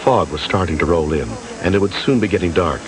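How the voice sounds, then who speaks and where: A man's voice: deep voice, fairly quick. A man narrating a dramatic rescue documentary from a script, on noisy old TV audio.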